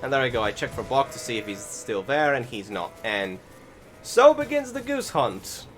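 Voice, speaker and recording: A man's voice: medium pitch, big pitch swings, moderate pace. A man giving casual voiced-over commentary on his video game playthrough, rambling along in a self-deprecating way. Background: music behind the voice.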